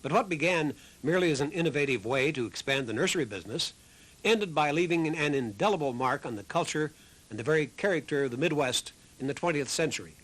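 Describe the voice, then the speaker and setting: A man reading scripted documentary narration in a measured storytelling way. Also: fairly high pitch, animated, a bit bright, poor audio. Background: music.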